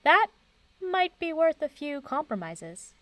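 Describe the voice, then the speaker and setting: A woman's voice: sits high, very animated, sharp tone, dry recording, full character voice. Composed, confident older woman professor. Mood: understanding, reassuring. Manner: composed, measured, formal. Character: eminent older woman professor-doctor, confident, kind, wry. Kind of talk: audio drama acting.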